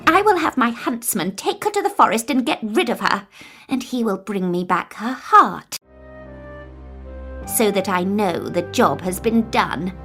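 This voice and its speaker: A woman's voice: medium pitch, sing-song, a little slow, music in the background. Woman acting haughty wicked fairytale queen, swooping voice. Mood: gloating. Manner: commanding, haughty. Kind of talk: children's storybook character voice.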